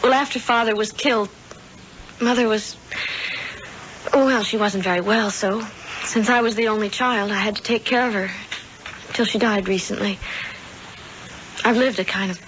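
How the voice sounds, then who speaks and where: A woman's voice: medium pitch, steady tone, moderate pace, long pauses, fairly bright tone, natural voice. An actress playing a naive, polite, sheltered eighteen-year-old small-town girl, earnest and hesitant, in a 1970s radio drama. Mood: subdued, wistful. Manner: wide-eyed, earnest, hesitant. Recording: dull radio tape, faint noise.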